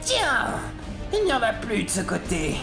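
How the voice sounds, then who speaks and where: A woman's voice: fairly high pitch, huge pitch swings, measured pace, gravelly, echoey room, music in the background, heavily acted. A woman playing a sadistic military interrogator in an audio drama, gloating, taunting and cruel with mock-polite menace. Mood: sadistic glee.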